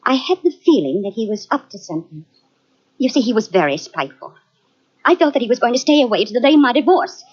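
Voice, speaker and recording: A woman's voice: on the high side, sing-song, breathy edge. An actress playing a tense, defensive widow who falters as she talks, in a 1940s radio drama. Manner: halting, defensive. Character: tense wealthy widow under suspicion. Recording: dull lo-fi old radio recording.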